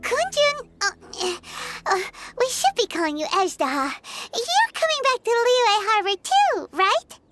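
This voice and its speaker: A squeaky excitable fairy. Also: female voice, a little slow, hardly any pauses, over music, exaggerated voice. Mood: excited. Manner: squeaky, high and bouncy. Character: tiny floating fairy companion, excitable and chatty. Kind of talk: video game voice acting. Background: orchestral game score.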